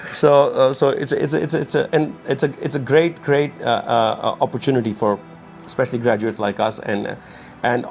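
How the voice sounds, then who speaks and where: Urdu-native guy chatting, lots of yeahs and mms, jumps up high when excited, faint music. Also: moderate pace, gravelly. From Pakistan. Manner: frequent backchannels, rises high in pitch when excited. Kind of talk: casual conversation.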